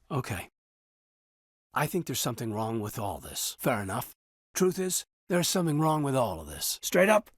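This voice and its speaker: An earnest soldier in a space game, kinda husky, very dry close recording, sincere and restrained. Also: male voice, mid-range voice, fairly bright tone, dry recording, full character voice. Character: earnest, loyal military officer in a space saga, adult man. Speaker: voice actor in a video game. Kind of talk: naturalistic dramatic game dialogue.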